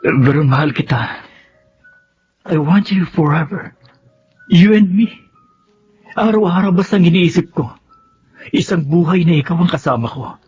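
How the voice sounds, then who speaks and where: A man's voice: a little high, a little slow, long pauses, darkish tone, slightly rough, soft background music. An actor playing a devoted, pleading young man in love, earnest and melodramatic in a Filipino radio drama. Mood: ardent, pleading. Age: young adult. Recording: muffled audio with faint noise.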